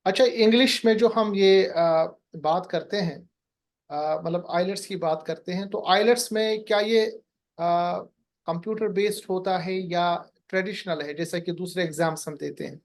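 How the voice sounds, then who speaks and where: High-voiced formal male webinar host. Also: unhurried. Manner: formal, polite, mixes English words into Urdu. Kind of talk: online webinar Q&A.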